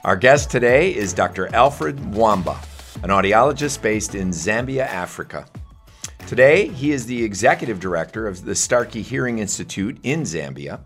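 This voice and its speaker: A man's voice: lowish voice, expressive, moderate pace, fairly bright tone, faint music behind. A middle-aged man hosting a podcast interview, talking in a friendly, teasing way. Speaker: podcast host.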